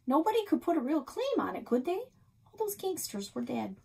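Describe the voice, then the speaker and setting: A woman's voice: high voice, very animated, normal speed, gravelly, a little mellow, pitched above the performer's own voice, full character voice. An American woman voicing a chatty, gossipy small-town grandmother who runs a shop, in a storybook read-aloud. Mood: matter-of-fact.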